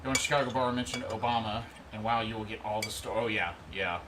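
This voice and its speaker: A man in his thirties hosting a livestream in a relaxed, rambling way, chatting and reading out viewer comments. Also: lowish voice, fast. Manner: relaxed, rambling, answers chat as he goes. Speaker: livestream host. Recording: steady background noise.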